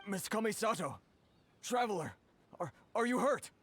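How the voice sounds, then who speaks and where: A man's voice: high-pitched, expressive, normal speed, fairly bright tone. A man voicing a timid, deferential, grateful merchant in an anime video game. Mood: worried. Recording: game audio with clear background noise.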